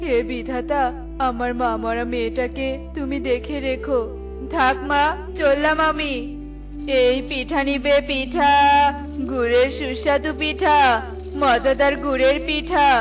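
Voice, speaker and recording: A woman voicing a humble, poor bird father who peddles cakes in a children's cartoon, gentle and plaintive, with music behind. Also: high voice, steady tone, measured pace. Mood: tender, hawking.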